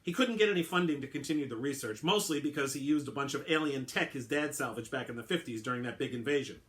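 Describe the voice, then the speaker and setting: A man's voice: mid-range voice, fast, a bit bright, lightly acted. A man voicing an eccentric, oddly formal male clone who explains patiently and keeps correcting himself. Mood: explaining.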